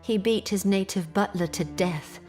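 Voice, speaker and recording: Actress as a grave young Victorian gentlewoman, music behind. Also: medium pitch, lightly acted. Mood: grave, composed. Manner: formal, measured storytelling. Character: distressed Victorian English gentlewoman recounting family misfortune. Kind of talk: period audio drama acting, narrative monologue.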